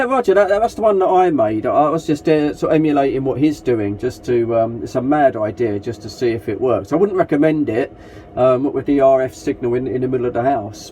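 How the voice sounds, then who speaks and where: A man's voice: mid-range voice, dark tone. A man chatting casually over CB radio as a group net operator, matey and polite, using call signs and radio jargon. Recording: noisy CB radio transmission with hiss.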